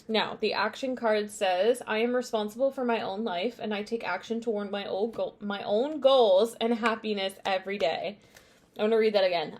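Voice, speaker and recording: Young woman doing a chill tarot reading, rambly, lots of um and like, mid pitch. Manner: chatty, meandering, many fillers and asides. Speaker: tarot reader. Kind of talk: casual spiritual card reading.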